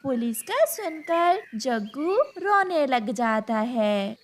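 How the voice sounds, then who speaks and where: High-pitched woman narrating a children's story. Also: sing-song, slow pace, a little hiss or hum.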